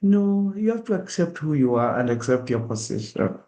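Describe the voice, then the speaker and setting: A man's voice: fairly high pitch, big pitch swings, moderate pace, mellow. A middle-aged man with a Kenyan accent giving an earnest, direct public talk and acting out examples in the first person. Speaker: speaker at a diaspora awareness event. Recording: echoey room.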